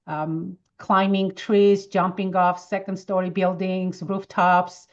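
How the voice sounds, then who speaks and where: A woman in her fifties from Armenia, speaking English with an Armenian accent, telling lively stories as an interview guest. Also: lowish voice, takes it slowly, muffled recording. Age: 50s. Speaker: wellness expert guest. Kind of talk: casual interview answers.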